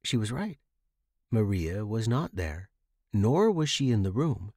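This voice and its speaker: A man's voice: lowish voice, sing-song, a little slow, darkish tone, no echo, clean studio sound. A man reading scripted first-person suspense fiction as a podcast narrator.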